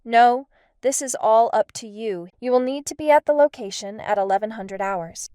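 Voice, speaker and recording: Radio drama intelligence boss, female actor: highish smooth voice, direct, probing, composed. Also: normal speed, dark tone, no room sound, clean studio sound, natural voice. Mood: businesslike, testing. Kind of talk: amateur radio drama acting.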